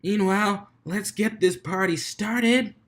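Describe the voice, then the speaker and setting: A cocky mare of a club DJ from a pony storybook, acted out by a man. The voice is pushed well up high. The delivery is boisterous and slangy, that of a born show-off working a crowd. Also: slow pace, a bit bright, full character voice. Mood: upbeat, cocky. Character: brash, cocky female pony DJ hyping a crowd.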